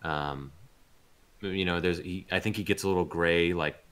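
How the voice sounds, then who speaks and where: A man chatting casually as a voice actor being interviewed, thoughtful and halting as he searches for words. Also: sits low, steady tone, fairly bright tone, clean tone.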